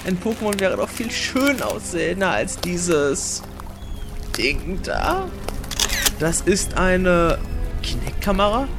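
A boastful young trainer hero played by a man in an amateur audio drama. He is excitable and eager, with music clearly behind him. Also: high voice, takes it slowly, exaggerated voice. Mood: puzzled.

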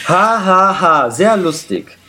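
Lively, higher-pitched young man. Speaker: performer playing himself in audio drama. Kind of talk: scripted adventure dialogue, audio drama. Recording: music bed behind the voice.

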